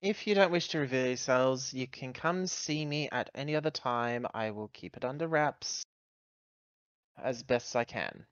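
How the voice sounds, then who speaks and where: A player voicing a pragmatic, world-weary ship's officer with measured, plain speech in a tabletop sci-fi game. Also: mid-range voice, smooth, no room sound, highs cut off, close to an everyday voice. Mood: discreet.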